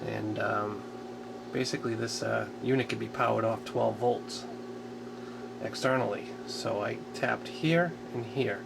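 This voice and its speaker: A man's voice: lowish voice, a little slow, creaky. A man giving a casual, unscripted hobbyist show-and-tell in a hesitant, rambling, matter-of-fact way. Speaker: radio hobbyist showing a restoration project. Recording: loud steady background hum and noise.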